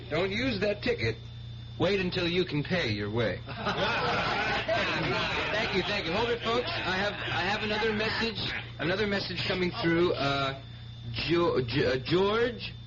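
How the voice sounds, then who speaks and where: High-voiced fanatical young stage mentalist. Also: male voice, expressive, unhurried, a loud crowd. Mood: showy, commanding. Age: young adult. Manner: theatrical, solemn, intense. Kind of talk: 1970s radio drama acting. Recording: noisy, muffled 1970s radio recording.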